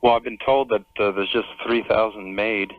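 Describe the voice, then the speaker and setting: A man calling a radio show, speaking casually and haltingly over the telephone. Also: lowish voice, steady tone. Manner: hesitant, halting. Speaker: caller to a radio advice show. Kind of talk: casual phone conversation. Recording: narrow, tinny telephone line.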